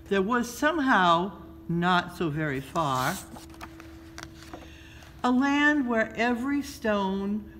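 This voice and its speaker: A woman reads a children's story aloud in a measured, expressive storytelling style, pausing between phrases. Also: mid-range voice. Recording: noticeable background noise.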